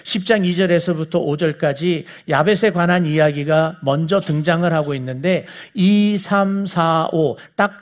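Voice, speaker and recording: A man with a high voice and a Seoul accent teaches like a preacher talking to his congregation. He explains step by step in a conversational way, often turning to his listeners with rhetorical questions. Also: unhurried, few pauses. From South Korea. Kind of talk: conversational sermon lecture to a congregation.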